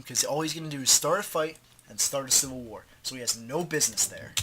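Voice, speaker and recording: A man's voice: medium pitch, background noise. A man with a stuffed-up nose and a slightly sore throat, casually walking through a strategy game tutorial in an offhand, slangy way while clicking through menus. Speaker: gaming tutorial host.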